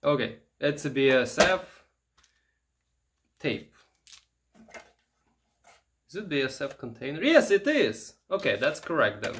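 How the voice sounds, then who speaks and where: A man giving casual, unscripted show-and-tell commentary, halting and musing aloud as he handles things. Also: a little high, sing-song, a bit bright, slight background noise. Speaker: vintage electronics vlogger.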